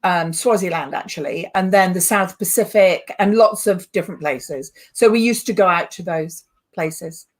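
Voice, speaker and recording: A woman's voice: lowish voice, moderate pace. A woman with a British accent talking casually and thoughtfully as a podcast guest, a little hesitant. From born in Borneo, moved to the UK. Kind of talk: casual chat.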